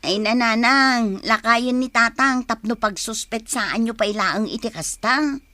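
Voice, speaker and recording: An actress in an Ilocano radio drama playing a flirtatious, playful sister-in-law, with a coy manner and an Ilocano accent. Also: female voice, fairly high pitch, unhurried, sharp tone, no echo. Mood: casual, teasing.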